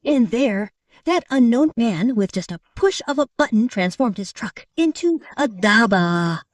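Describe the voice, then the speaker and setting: A woman's voice: on the high side, animated, measured pace, dry recording, recorded from a distance. A woman reading scripted storybook narration.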